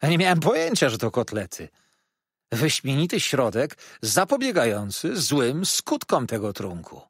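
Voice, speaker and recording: A man's voice: medium pitch, sing-song, measured pace, slightly rough, no echo, studio quality, full character voice. A man voicing a sly, jovial, chatty manservant who exclaims theatrically in a storybook reading. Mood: gleeful. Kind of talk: dramatised audiobook reading.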